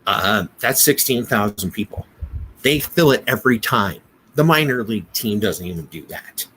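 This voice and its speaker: A man with an American accent in a casual business discussion, speaking haltingly, often repeating words and restarting. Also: on the low side, lively intonation, sharp tone, low-quality recording. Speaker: business podcast co-host. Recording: noticeable background noise.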